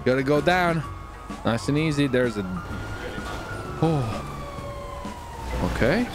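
A man hosting a gaming video, giving excitable roleplay gameplay commentary, talking to himself, swearing and breaking into shouts. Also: fairly high pitch. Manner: excitable, swearing, shouting outbursts, self-talk.

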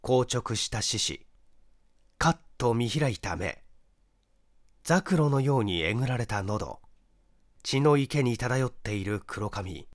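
Male narrator for mystery audiobook: mid-pitched, highly expressive range, close dry recording. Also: slow pace, long pauses, dry recording. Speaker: audiobook reader, stage actor. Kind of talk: dramatic scripted narration of a mystery story.

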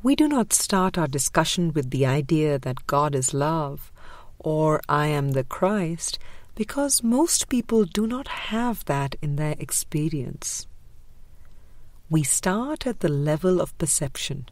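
A woman reading a spiritual book aloud as scripted narration, in a measured, deliberate way with pauses. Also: low voice, big pitch swings, no echo. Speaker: audiobook reader.

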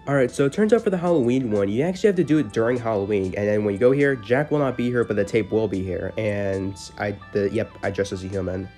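A man's voice: lowish voice, quick pace, few pauses, darkish tone. A man giving casual, slangy commentary over gameplay as a gaming video host. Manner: informal, slangy. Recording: music behind the voice.

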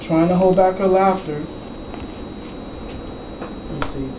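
A man reading a picture book aloud to children as its author, conversationally, pausing to explain the pictures and ask questions. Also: high voice, fairly even intonation, highs cut off. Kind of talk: storybook read-aloud with casual asides. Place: school classroom, indoors. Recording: echoey, noisy classroom, far from mic. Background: clear room noise with children present.

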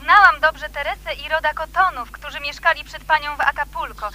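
An actress playing a chatty, gushingly polite suburban neighbour over a telephone in a radio drama. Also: female voice, high-pitched, steady tone, brisk, sharp tone. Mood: friendly, gushing. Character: chatty, sociable suburban neighbour lady phoning a newcomer. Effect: telephone filter.

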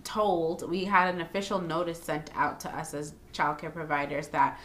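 A woman's voice: deep voice, level tone, moderate pace, a bit bright. Chatty New woman hosting a podcast. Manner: chatty, frank, with frequent fillers. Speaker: podcast host, daycare owner. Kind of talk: casual chat. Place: small home room.

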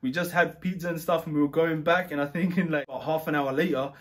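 A man chatting casually about a trip, rambling and relaxed with many fillers and restarts. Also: fairly high pitch, quick pace. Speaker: travel vlogger. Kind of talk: casual chat.

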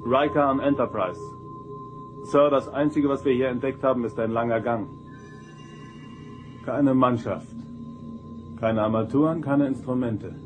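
Guy playing no-nonsense first officer in a space radio drama, mid-pitched, music behind. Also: fairly even intonation, measured pace, long pauses, muffled recording, close to an everyday voice. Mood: commanding. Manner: crisp, military, direct. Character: resolute, dutiful male starship first officer.

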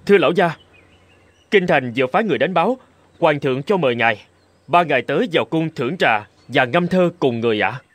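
Cartoon casting: obliging male servant, flattering and deferential, raised lively voice. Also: on the high side, fast, no echo. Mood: eager, flattering. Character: eager, deferential male household steward. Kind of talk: Vietnamese animated folk tale dialogue.

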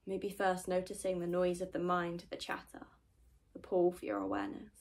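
A woman leading a guided meditation in a gentle, soothing manner, often trailing off into a breathy whisper. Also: lowish voice, flat delivery, moderate pace. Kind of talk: guided meditation, soft-spoken and unhurried.

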